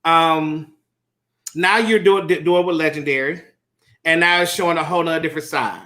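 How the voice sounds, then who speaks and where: Interview host, man, late thirties, high bright voice, animated and chatty. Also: moderate pace. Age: 30s. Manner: animated, laughs often, lots of fillers. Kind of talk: casual interview.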